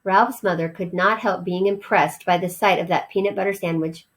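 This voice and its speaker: A woman's voice: on the low side, fairly even intonation, normal speed. Woman reading a storybook aloud. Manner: explanatory, as if to young listeners. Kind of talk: storybook narration read aloud.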